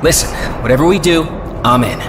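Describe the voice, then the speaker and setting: A man's voice: on the high side, fairly quick, background noise, close to an everyday voice. A man playing an earnest, nerdy facility technician in a video game, rambling informally and eagerly. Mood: eager, nervous. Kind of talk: casual, naturalistic video game acting.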